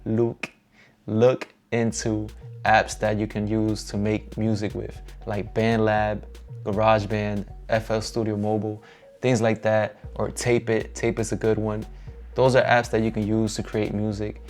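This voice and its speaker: A young man with a Dominican accent giving a relaxed, upbeat tour of his home music studio, encouraging and slangy. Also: on the low side, unhurried. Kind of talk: casual vlog walkthrough.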